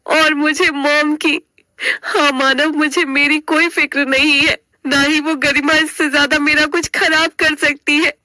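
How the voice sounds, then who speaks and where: A woman's voice: sits high, level tone, measured pace, bright, forceful tone, no echo. A woman playing a young, earnest daughter-in-law in a family story, pleading and emotional. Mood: worried.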